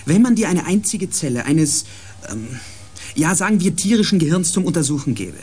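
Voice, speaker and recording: A man's voice: fairly high pitch, sing-song, moderate pace, darkish tone, slightly rough. A man playing a thoughtful, inquisitive scientist on a space expedition in a radio drama, reasoning aloud with emphasis. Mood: musing, eager.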